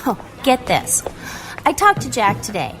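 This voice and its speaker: A woman playing an excitable, earnest young shop worker, chatty and emphatic, in a family radio drama. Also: on the high side, a little slow, faint music behind, full character voice, rough recording.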